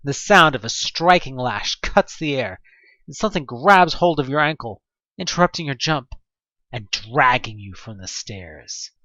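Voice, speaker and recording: Animated man narrating, slightly muffled. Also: medium pitch, unhurried, no room sound. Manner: theatrical, wry. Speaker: narrator of a fan audio drama. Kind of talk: dramatic second-person game narration.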